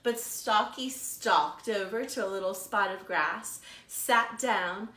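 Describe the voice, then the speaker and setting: An American woman, a children's librarian, telling a story to young children with deliberate, dramatic emphasis. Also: mid-range voice, bright, forceful tone. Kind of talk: expressive storytelling for preschoolers. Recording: roomy echo.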